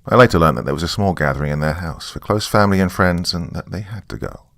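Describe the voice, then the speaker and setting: A man's voice: sits low, animated, fast talker, gravelly, fairly bright tone, no room sound, clean studio sound. A man narrating a story in the first person.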